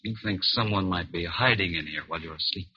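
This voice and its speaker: A man's voice: deep voice, fast talker, husky, lo-fi sound. A man acting an insistent, persuasive family doctor who talks down to his patient in an old-time radio suspense drama. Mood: soothing, insistent. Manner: patronizing, persuasive. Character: smooth-talking, insistent male family doctor.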